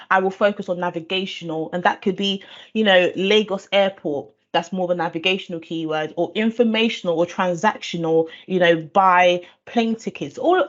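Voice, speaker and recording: A woman from Nigeria who grew up speaking Igbo, explaining SEO in a thoughtful conversational way, with fillers and restarts. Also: mid-range voice. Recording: dull lo-fi audio.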